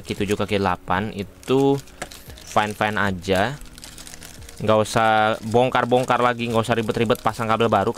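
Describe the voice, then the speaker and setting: A man's voice: on the low side, fairly bright tone, a little hiss or hum. A man, chatting informally to viewers as he reviews motorcycle gear. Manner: informal, addresses viewers as friends. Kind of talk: casual unboxing and review chat.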